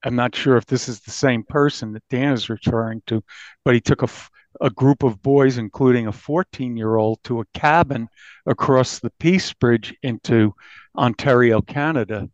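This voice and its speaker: A man speaking as an investigative journalist in an interview, recounting a crime case in a halting but emphatic conversational way. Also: mid-range voice, dry recording. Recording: dull, band-limited audio.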